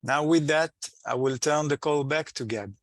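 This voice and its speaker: A man presenting company financial results as an executive on an earnings call, in a halting, hesitant delivery with slightly non-native phrasing. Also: on the high side, dry recording.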